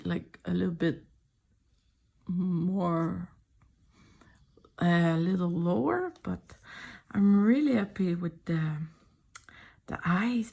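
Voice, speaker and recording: A middle-aged woman with a French accent, speaking French as her first language, chats softly, often in a whisper, while showing her sketchbook drawings at home. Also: medium pitch, gravelly. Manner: soft-spoken, often whispering. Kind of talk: casual chat.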